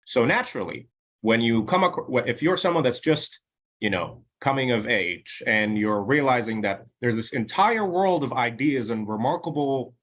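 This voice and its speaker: A man co-hosts a casual podcast discussion and argues his point with animated emphasis. He poses rhetorical questions and cuts in with quick denials. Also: medium pitch. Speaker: podcast co-host.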